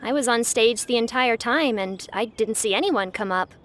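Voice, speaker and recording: A woman's voice: fairly high pitch, normal speed, fairly bright tone, lightly acted. An actress playing a courteous, graceful young woman in a video game, speaking politely.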